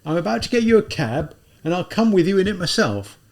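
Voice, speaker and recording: A man's voice: sits high, very animated, fairly quick, creaky, voice pushed up, exaggerated voice. Old policeman, fussy and pitying, raised and fretful, put on by a male reader. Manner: fretful, clucking pity.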